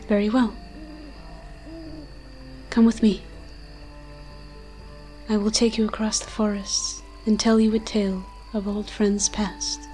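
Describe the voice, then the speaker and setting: A woman's voice: medium pitch, level tone, takes it slowly, long pauses, mellow, faint music behind, natural voice. A woman voicing an ancient, moss-covered forest demon in an audio roleplay, tender and protective, speaking in solemn, poetic, archaic storytelling phrases. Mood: gentle, grave.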